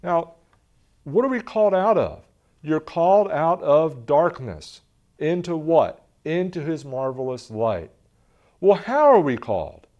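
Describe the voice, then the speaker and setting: A man's voice: a little high, sing-song, a little slow, darkish tone, highs cut off. A man in his forties teaching a Bible lesson from a lectern in a television studio, earnest and gesturing as he reads passages aloud.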